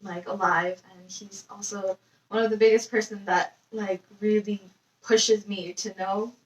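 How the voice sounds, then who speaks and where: A woman's voice: mid-range voice, fairly even intonation, unhurried, dull audio. A young woman from Nepal answering interview questions in a hesitant, casual way with many fillers. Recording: echoey room, distant mic, faint noise.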